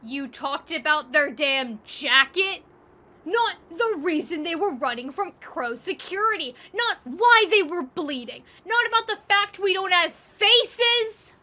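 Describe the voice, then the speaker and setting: Woman as abrasive, hot-tempered TNT, bright and forceful. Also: extremely high pitch, lively intonation, unhurried, pitched above the performer's own voice, heavily acted. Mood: angry, outraged. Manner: brusque, snapping, scornful. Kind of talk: audio drama acting.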